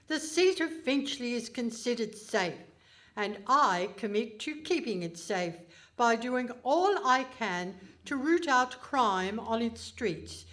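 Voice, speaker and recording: A woman playing a haughty, pompous Iron Lady politician in a comic radio play, speaking in a stately, deliberate manner. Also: on the high side, animated, fairly bright tone. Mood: pompous, self-important. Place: hall stage before a live audience.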